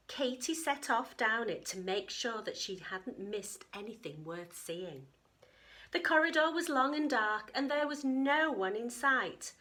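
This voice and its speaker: A woman narrates a children's picture book with a lively, swooping delivery. Her voice is bright, and she breaks off to chat with and question her young listeners in a teacherly way. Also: fairly high pitch. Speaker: teacher reading story time.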